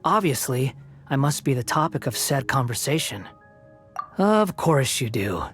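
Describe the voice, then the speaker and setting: Haughty, sardonic young puppet wanderer. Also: male voice, medium pitch, very animated, unhurried, fairly bright tone. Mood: sarcastic. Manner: haughty, dry, sarcastic. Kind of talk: video game character acting. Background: faint background music.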